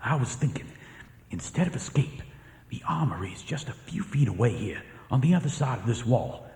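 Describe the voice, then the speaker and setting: An actor playing an earnest, devoted Confederate prisoner plotting escape in a radio drama. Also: male voice, mid-range voice, very animated, moderate pace, darkish tone. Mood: hushed, urgent. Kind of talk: radio theatre acting. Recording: echoey hall reverb, faint noise.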